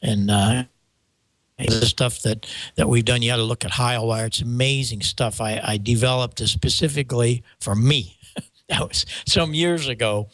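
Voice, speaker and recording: Halting older American man, dry close sound. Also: mid-range voice, steady tone, fairly bright tone, no echo. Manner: rambling, halting with stammered restarts. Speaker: show host and microphone maker. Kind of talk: casual show talk, explaining audio gear.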